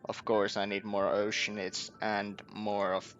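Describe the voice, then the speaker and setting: A man giving casual gameplay commentary, halting as he thinks aloud. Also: fairly low pitch, monotone, dull audio. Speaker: video game streamer. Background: game music.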